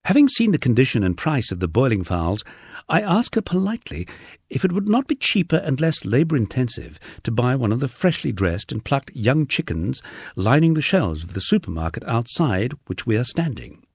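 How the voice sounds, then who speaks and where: Man, husky, wry audiobook narration, very varied intonation, dry close recording. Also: mid-range voice, big pitch swings, moderate pace, no echo. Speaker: audiobook narrator reading a memoir. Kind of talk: scripted first-person narration.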